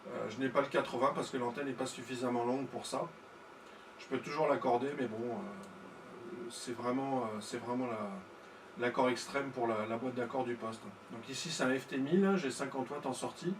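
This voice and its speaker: A man talks casually as a ham radio operator, working through technical details with some hesitation. His voice sits at an ordinary male pitch. The sound is noticeably roomy, with a faint layer of noise behind it. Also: moderate pace. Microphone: studio-style mic through tube preamp.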